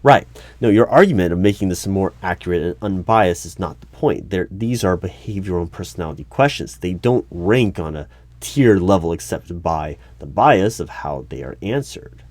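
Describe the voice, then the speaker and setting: A man playing an exasperated, argumentative hiring manager in an audio roleplay, speaking haltingly and in formal wording. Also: low-pitched, lively intonation, a little mellow, dry recording. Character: exasperated male human-resources hiring manager interviewing a robot. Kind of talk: one-sided audio roleplay.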